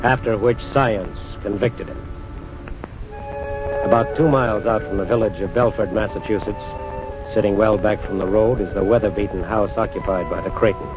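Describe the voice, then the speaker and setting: A man narrating a 1940s radio crime drama in a measured, serious tone. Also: fairly low pitch, steady tone. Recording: dull 1940s radio recording with music.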